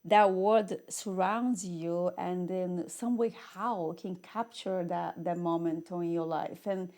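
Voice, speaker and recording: A woman's voice: lowish voice, takes it slowly, darkish tone. A woman from Rio de Janeiro, whose first language is Portuguese, hosts an interview show with effusive, exclamatory talk and non-native English phrasing. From Brazil, Rio de Janeiro. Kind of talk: enthusiastic interview chat.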